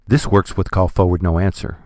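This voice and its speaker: A man giving scripted, step-by-step software tutorial narration in a methodical, instructional manner. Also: sits low, little pitch movement, brisk, no echo, studio quality.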